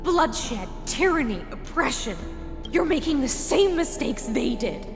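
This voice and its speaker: An actress playing a stern, defiant woman in a video game, speaking in a formal, deliberate, accusing manner. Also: high voice, very animated, full character voice. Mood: indignant, reproachful. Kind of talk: dramatic video game voice acting. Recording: long reverb with music behind.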